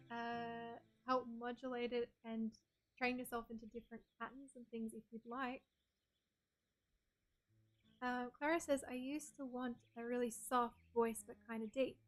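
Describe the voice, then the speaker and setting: Soft-voiced Australian woman streamer. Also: fairly high pitch. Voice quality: soft, breathy voice. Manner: chatty, reads chat messages aloud and answers them. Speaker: game streamer. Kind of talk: casual chat with stream viewers.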